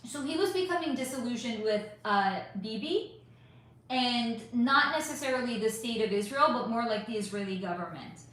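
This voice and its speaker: A woman hosting a casual live stream, answering chat questions in an emphatic, rambling way with swearing, her voice a bit hoarse. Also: on the high side, animated, moderate pace, a bit bright. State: voice going hoarse. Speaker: psychic channel host reading live chat. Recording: heavily echoey room.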